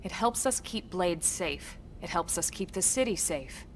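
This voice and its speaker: An actress playing a composed, no-nonsense female military officer in a video game, speaking in a crisp, measured, dry way. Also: fairly low pitch, animated, a little hiss or hum. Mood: measured, explaining. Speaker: voice actor. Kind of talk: scripted video game cutscene acting.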